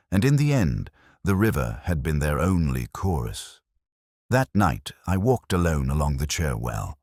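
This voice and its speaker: A man reading a scripted first-person detective story in a brooding, measured, literary manner. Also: very deep voice, no room sound, clean studio sound. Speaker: audiobook-style narrator.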